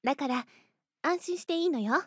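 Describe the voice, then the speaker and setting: An actress playing a gentle, mysterious schoolgirl protector in an audio drama, speaking softly and soothingly. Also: high-pitched, animated, fairly quick, no echo, studio quality. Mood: calm, reassuring. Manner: soft, composed, soothing.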